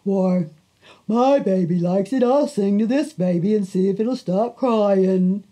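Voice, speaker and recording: A woman voicing a gentle mother cow in a storybook tale, speaking and singing slowly in a drawn-out, mellow way. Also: mid-range voice, natural voice. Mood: placid. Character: slow, gentle mother cow chewing cud.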